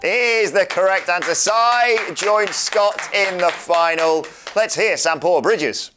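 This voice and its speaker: A man's voice: sits high, slow pace, over music. A man hosting a game show, reading out quotes in a theatrical, playful manner. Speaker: quiz host.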